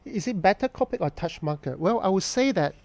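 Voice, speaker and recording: A man talks viewers through his drawing on a live stream. His voice is mellow and sits a little high for a man. He speaks in an easy, unhurried way and pauses often to think. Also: animated, creaky, no room sound. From Hong Kong. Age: 40s. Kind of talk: casual live-stream chat while drawing, answering viewer questions.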